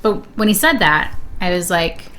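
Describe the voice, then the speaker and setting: A woman's voice: fairly low pitch, expressive, moderate pace, fairly bright tone, a little hiss or hum. A woman chatting casually as a guest on a game stream. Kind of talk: casual chat.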